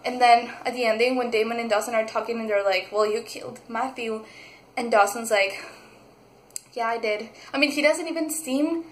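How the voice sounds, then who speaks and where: A young woman from Mexico, reviewing a book in a casual vlog, gushing and excitable with many fillers and restarts. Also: on the high side, normal speed. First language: Spanish. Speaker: book vlogger. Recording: echoey room.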